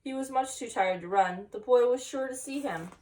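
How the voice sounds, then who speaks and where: A woman's voice: on the high side, normal speed, a little mellow, far from the mic. A woman reading a children's picture book aloud expressively, with chatty asides to young listeners. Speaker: story time reader, teacher.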